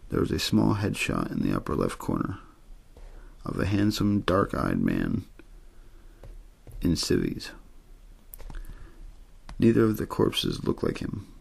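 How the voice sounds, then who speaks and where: A man reads a horror novel as a bedtime ASMR story. His voice is very low and heavily creaky, with a husky rasp underneath. The delivery is understated and untheatrical, though his pitch moves around noticeably as phrases fall away. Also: huge pitch swings, unhurried, long pauses. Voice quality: gravelly, husky. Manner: low-key, untheatrical narration. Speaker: audiobook-style story reader.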